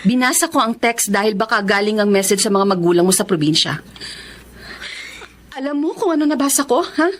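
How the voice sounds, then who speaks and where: An actress playing an emotional, betrayed Filipino wife who runs a bakery, in a radio drama. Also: female voice, medium pitch, lively intonation, brisk, natural voice. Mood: suspicious, accusing. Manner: emotional, accusing. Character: betrayed, hurt wife, thirty-something bakery owner.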